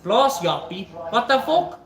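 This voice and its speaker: A man's voice: very high voice, very animated, unhurried, reverberant, crowd noise. A stuffy-nosed South African man hosting a live cooking stream, chatting casually with viewers and swearing now and then. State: stuffy nose. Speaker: livestream cooking host.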